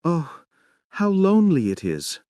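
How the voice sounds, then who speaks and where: A man's voice: fairly high pitch, huge pitch swings, a little slow, warm tone, no echo, clean studio sound, pitched above the performer's own voice. A man voicing a sad, lonely, pleading wife in a theatrical, plaintive reading of a stage play. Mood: lonely, wistful.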